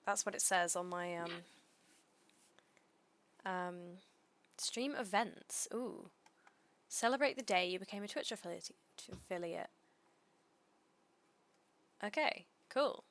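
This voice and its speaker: A woman streamer with a dry, understated delivery, reading aloud and chatting casually in a deadpan way. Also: mid-range voice, slow pace, pauses often.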